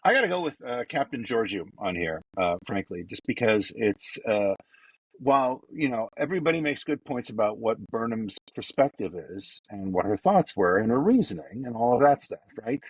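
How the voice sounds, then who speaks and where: A man's voice: mid-range voice, big pitch swings, normal speed, slightly rough, no room sound, low-quality recording. A man co-hosting a podcast, giving his opinion bluntly and emphatically, hammering his points home. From United States. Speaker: podcast co-host. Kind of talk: opinionated casual chat.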